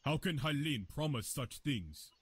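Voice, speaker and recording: A man's voice: fairly high pitch, expressive, a little slow, fairly bright tone. An actor playing an earnest castaway man in a visual novel, speaking in stilted, simple phrases. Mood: doubtful. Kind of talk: video game voice acting.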